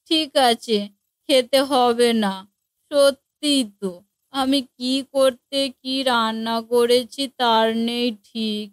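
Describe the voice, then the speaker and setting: A woman's voice: on the high side, no room sound. A woman voicing a naive, eager young daughter-in-law in a family comedy cartoon, speaking in a simple, earnest way. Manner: slow, simple-minded, earnest delivery.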